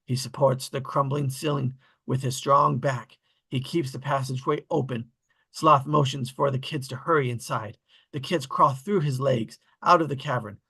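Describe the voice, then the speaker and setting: A man narrates screenplay stage directions at a table read. His voice is mid-pitched, bright and forceful, with a husky, gravelly creak to it.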